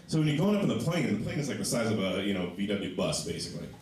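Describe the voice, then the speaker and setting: A man with a low voice doing a stand-up comedy set in a small comedy club, telling stories with lively, animated delivery. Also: racing. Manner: animated storytelling, voices for others. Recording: echoey club sound from a distant mic.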